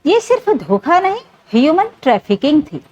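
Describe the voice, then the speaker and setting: A woman's voice: high-pitched, big pitch swings, moderate pace, darkish tone, dull audio. A woman reading a scripted dramatic story as narrator, in an emotive storytelling manner.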